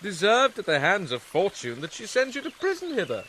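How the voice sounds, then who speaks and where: Bitter witty young prince in old verse radio play, bright lively voice, bit of noise. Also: male voice, sits high, fairly quick, exaggerated voice. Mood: brooding. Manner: theatrical, sardonic, playful. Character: young prince feigning madness, mocking, bitter and quick-witted. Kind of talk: Shakespearean radio drama acting.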